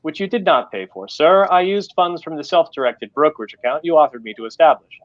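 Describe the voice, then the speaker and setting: A man's voice: a little high, expressive, brisk, dull audio, natural voice. A man voicing a formal, exacting AI butler with a refined American accent and a dry, scolding temper. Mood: prim, exacting.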